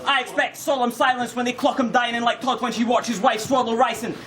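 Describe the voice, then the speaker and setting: A young Scottish man with a strikingly high voice spits battle rap verses, punching out his lines and shouting the hardest hits. The recording is noisy, with live-event sound clearly underneath him. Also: level tone, brisk. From Scotland. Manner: aggressive, punchy delivery with shouted punchlines and laughs. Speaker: battle rapper.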